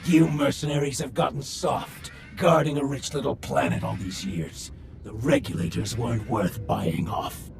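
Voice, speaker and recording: An actor playing a scornful, arrogant enforcer villain in a video game, sneering and haughty, with ambient noise behind him. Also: male voice, medium pitch, moderate pace, vocal fry, soft background music, close to an everyday voice. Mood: contemptuous.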